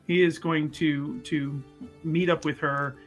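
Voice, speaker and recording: A man's voice: fairly high pitch, little pitch movement, takes it slowly, faint music behind. A man casually describing his character's moves as a tabletop game player, repeating words as he thinks. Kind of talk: casual improvised game talk.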